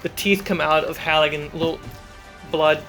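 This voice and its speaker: Game master host for a fantasy tabletop show, mid pitched voice, music going behind. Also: fairly even intonation, normal speed, fairly bright tone. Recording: background music under voice. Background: background music.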